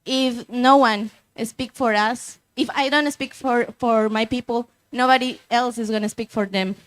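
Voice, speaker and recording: Young woman, impassioned activist, slightly high voice, rhetorical questions, panel speech. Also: fairly even intonation, a little slow, no echo. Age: young adult. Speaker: youth activist speaker. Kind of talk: panel statement.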